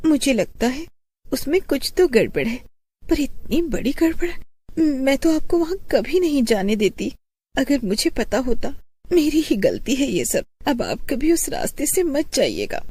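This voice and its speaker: A woman voicing an anxious, caring wife who speaks politely and gently. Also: high voice, very animated, unhurried, voice pushed up. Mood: worried, tender. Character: anxious, caring young wife, soft and apologetic.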